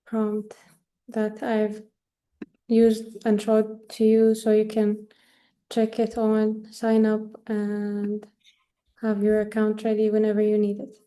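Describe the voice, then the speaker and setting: A woman walks through a software demo on a video call. She speaks slowly and with many pauses and fillers, and drops in Arabic words now and then. Her voice sits a little high but sounds dark and mellow, with little rise and fall. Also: completely flat delivery. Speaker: workshop presenter. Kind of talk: screen-share product demo.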